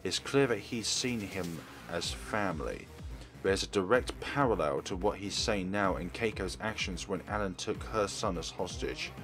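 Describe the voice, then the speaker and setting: A man's voice: deep voice, moderate pace. A man doing a let's play, reading a visual novel aloud in a dramatised way with the odd stumble. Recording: music behind the voice. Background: game music.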